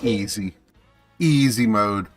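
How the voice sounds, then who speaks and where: A man's voice: on the low side, takes it slowly. A man casually commentating on a game live, playfully talking to himself. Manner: talks to self, playful. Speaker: game streamer. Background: game music.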